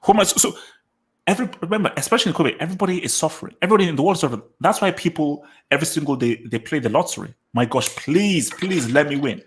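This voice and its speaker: A man hosting a casual podcast chat, arguing his point with animated emphasis and lots of repeated words. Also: on the high side, very fast. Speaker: podcast host.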